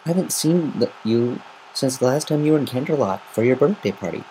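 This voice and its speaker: A man voicing an affectionate older mother unicorn in a story reading, fond and gently teasing. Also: medium pitch, animated, a little fast, pitched above the performer's own voice, natural voice. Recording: noisy amateur home recording.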